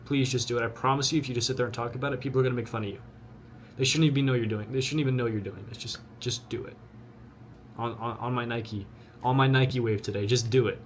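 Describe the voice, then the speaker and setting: A man's voice: medium pitch, steady tone, quick pace, slight background noise. A twenty-year-old man giving a blunt, earnest motivational talk to the camera. He repeats himself often and talks straight to his listener. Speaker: vlogger.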